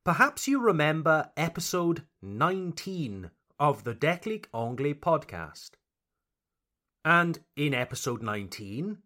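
Man, light Welsh accent, podcast host, slow careful delivery, lively animated intonation. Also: on the high side. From Wales. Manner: carefully articulated, teacherly, encouraging. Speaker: podcast host and English teacher. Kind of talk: scripted podcast narration for learners.

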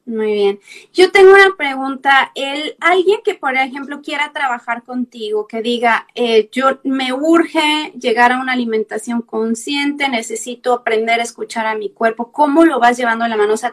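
A woman's voice: high voice, normal speed, fairly bright tone. A woman hosting an interview as a fellow nutritionist, asking questions and giving friendly advice.

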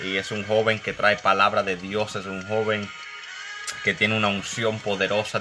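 A fervent man hosts a church live stream from his computer, greeting each viewer with a blessing. His voice sits low. Worship music plays clearly behind him. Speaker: host of an online live interview. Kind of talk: preacher-style live stream hosting.

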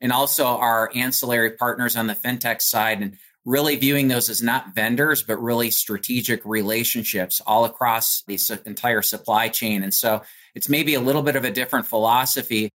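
A man's voice: mid-range voice, steady tone, moderate pace, hardly any pauses, a bit bright. An American man speaking as a company executive in an interview, explaining things conversationally in polished corporate language peppered with "you know". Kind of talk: business interview answer, conversational.

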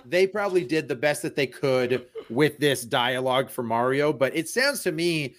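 A man's voice: medium pitch, animated, moderate pace, fairly bright tone. A man chatting casually on a group podcast, thinking aloud as he goes with some hesitation. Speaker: podcast panelist. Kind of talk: casual group chat about pop culture.

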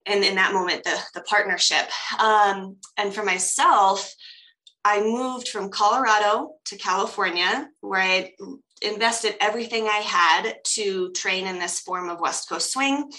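A woman's voice: mid-range voice, unhurried, sharp tone. An American woman with an American accent, a podcast guest telling her personal story in an interview. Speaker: podcast guest, coach and dancer.

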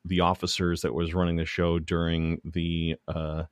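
An American man hosting a casual comedy interview, feeling his way haltingly through rambling questions. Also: low voice, monotone, no room sound. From United States. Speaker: show host, interviewer.

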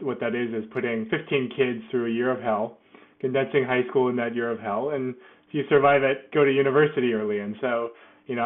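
A man's voice: medium pitch, narrow, tinny audio. A middle-aged man speaking as an interview guest, self-deprecating and often restarting his sentences. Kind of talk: casual interview.

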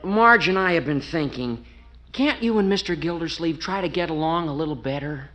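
A young actor playing a mild-mannered, earnest fiancé in a 1940s radio comedy. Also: male voice, on the high side, normal speed, bright, forceful tone. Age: young adult. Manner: earnest, soothing.

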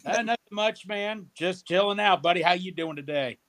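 A man's voice: high voice, normal speed, fairly bright tone. Laid-back man calling in. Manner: easygoing, laid-back chatter. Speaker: caller on a stream. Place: family house basement.

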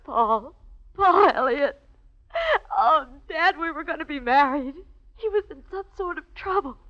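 A woman's voice: sits high, animated, unhurried. An actress playing a distraught, determined young American woman, emphatic and impassioned, in 1940s radio melodrama style. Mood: distraught, tearful. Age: young adult. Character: distraught, determined young daughter mourning her fiancé. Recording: muffled vintage radio recording.

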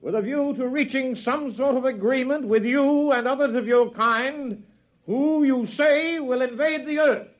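An actor playing a stiff, formal army general in an old-time radio drama. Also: male voice, extremely high pitch, measured pace. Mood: formal, tense. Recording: dull vintage radio recording.

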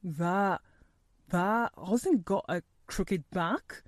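A woman voicing an ignorant, tactless old working man in a hoarse, shaky voice for a storybook. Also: lowish voice, extremely slow, dry recording, clean studio sound. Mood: hoarse disbelief. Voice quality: hoarse, quavering.

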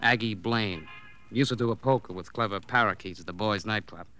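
An actor playing a wry, needling police colleague, talking breezily in an old radio crime drama. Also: male voice, fairly low pitch, fairly quick, sharp tone, faint music behind. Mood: breezy briefing. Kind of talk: 1940s-50s radio drama acting.

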